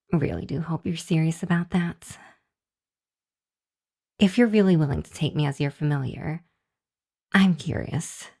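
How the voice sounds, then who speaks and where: A woman plays a young female hellhound in an intimate ASMR roleplay. Her voice sits low for a woman and moves slowly. She is earnest but easily flustered, hesitating and halting as she talks to the listener. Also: a little mellow. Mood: tentative, curious. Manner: hesitant, flustered, halting with sighs and nervous chuckles. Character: shy, earnest young female hellhound demon seeking shelter.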